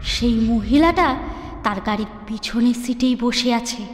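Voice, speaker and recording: Woman, high pitch, dramatic horror narration, deliberate suspenseful phrasing, reverberant, music bed. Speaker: storyteller, channel narrator. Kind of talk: dramatic ghost story narration. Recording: echoey long reverb, music underneath.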